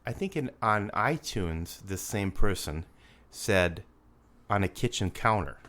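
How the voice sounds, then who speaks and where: Man, slightly nasal, low voice, lively swings in pitch, joking podcast co-host. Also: a little slow. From Rapid City, South Dakota. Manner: joking, laughs often, reads listener comments. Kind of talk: casual chat.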